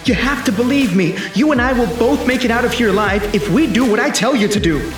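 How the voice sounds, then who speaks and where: A time-traveling bodyguard in a sci-fi action film. His voice is high and very animated, terse and commanding with a cocky edge. It rings in a roomy space with music clearly behind it. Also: brisk. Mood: urgent, commanding. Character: urgent male time-traveling protector from the future, commanding and cocky.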